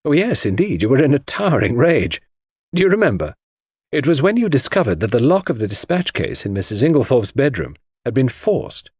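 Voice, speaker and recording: A man playing a detective's earnest, easily baffled gentleman friend in an audiobook, with an exclamatory way of talking. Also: medium pitch, very animated, fairly quick, breathy edge, no echo, clean studio sound. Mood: teasing, lively.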